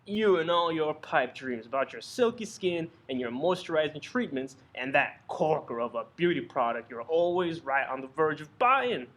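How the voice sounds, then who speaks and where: A man's voice: on the high side, expressive, normal speed, hardly any pauses, fairly bright tone. A man playing a bitter, boozy working-class husband with slangy, melodramatic ranting in an old-fashioned radio play parody. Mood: bitter, anguished.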